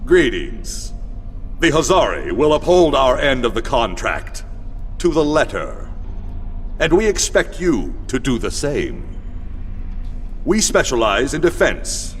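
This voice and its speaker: Alien warrior envoy, proud, formal, animated delivery, long reverb, game dialogue. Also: male voice, mid-range voice, slow, long pauses, slight background noise. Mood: formal, firm. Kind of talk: video game voice acting. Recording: long hall reverb, music behind.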